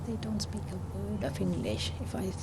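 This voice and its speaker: A middle-aged woman from Malaysia, a librarian, speaking softly and hesitantly in a documentary interview. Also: mid-range voice, normal speed, vocal fry. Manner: soft-spoken, hesitant with many ums. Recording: noisy street background.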